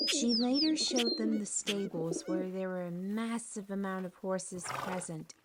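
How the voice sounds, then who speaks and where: A woman's voice: medium pitch, background noise. A woman narrating a scripted fantasy story.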